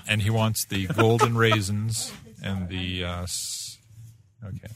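A man's voice: on the low side, steady tone, slow pace, a bit bright. A man co-hosting a podcast in casual, conversational chat. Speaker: podcast co-host.

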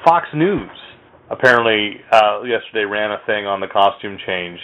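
A man's voice: fairly low pitch, animated, normal speed, fairly bright tone. A man hosting a podcast in a casual, conversational style. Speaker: podcast host. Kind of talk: casual chat about comic books. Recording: thin phone-like sound, faint background noise.

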